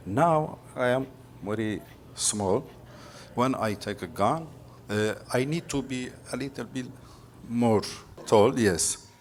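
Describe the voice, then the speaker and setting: A man from Turkey, an artist, talking about his work in halting, broken English with many pauses. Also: mid-range voice, a little hiss or hum. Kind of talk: interview about his artwork. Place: large gallery hall indoors. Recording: echoey gallery hall.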